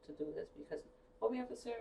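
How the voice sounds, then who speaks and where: A woman's voice: mid-range voice, lively intonation, fast, creaky, dark tone. A woman co-hosting a podcast, chatting casually with friends in a reflective way, often trailing off and restarting her thoughts. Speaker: podcast co-host. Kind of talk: casual chat among friends. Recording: echoey room, mic at a distance, faint noise.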